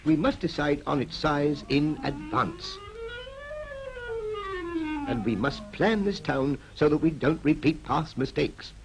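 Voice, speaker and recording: An actor with a British accent playing a brisk, persuasive town planner in a 1940s animated public information film, clipped and instructive. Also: male voice, fairly high pitch, heavily acted, rough recording. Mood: brisk, explanatory. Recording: vintage 1940s film soundtrack with music.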